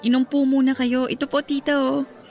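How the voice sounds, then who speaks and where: A woman playing a sweet, bashful young girlfriend in a radio drama, polite and expressive. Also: high-pitched, normal speed, faint music behind. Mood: polite, amused.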